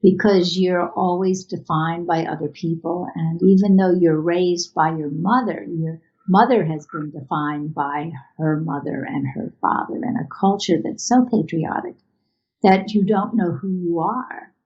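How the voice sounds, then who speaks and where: A woman giving reflective, halting interview answers as an artist, recorded over an internet video call. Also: on the low side, lo-fi sound, faint music behind.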